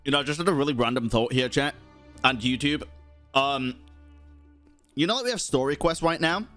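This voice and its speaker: A young man giving casual live reactions as a game streamer, informal, expressive and sweary. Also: mid-range voice, moderate pace, soft background music.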